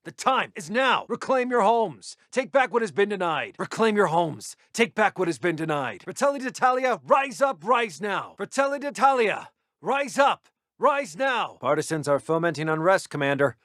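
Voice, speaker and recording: Formal military intelligence officer, higher-voiced. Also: male voice, sing-song, moderate pace, a bit bright, no echo, clean studio sound. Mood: rousing. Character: male military intelligence officer briefing his commander. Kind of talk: scripted video game unit announcements.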